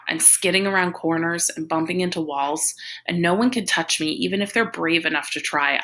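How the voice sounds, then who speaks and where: A big, troubled teenage boy tells his story in raw slang read aloud by a woman. The voice is creaky and forceful, like a storybook narrator who is defiant to the core. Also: deep voice, full character voice. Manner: slangy, run-on first-person telling. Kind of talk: audiobook-like novel reading.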